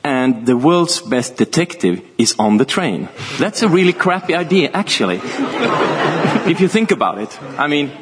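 A man's voice: a little high, big pitch swings, some chatter behind. A man, an author, talking casually on a panel, often stopping to restart his sentences and breaking into laughter. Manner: chatty, halting, laughs often. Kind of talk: panel conversation.

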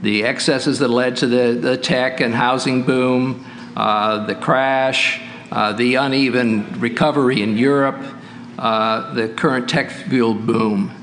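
A man's voice: mid-range voice, steady tone, takes it slowly, fairly bright tone, a little hiss or hum. Hesitant man moderating a panel. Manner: hesitant, many uh fillers and restarts. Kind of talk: moderating a book festival panel.

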